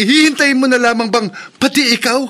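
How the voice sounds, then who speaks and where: An actor with a Filipino accent playing a worried, devoted young boyfriend in a horror radio drama. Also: male voice, very high-pitched, bright, forceful tone, heavily acted.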